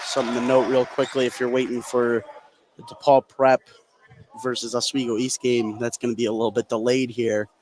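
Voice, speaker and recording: A man's voice: mid-range voice, flat delivery, a little fast, a little mellow, poor audio. A man giving live analysis as the color commentator on a basketball broadcast. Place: indoor basketball gym, courtside.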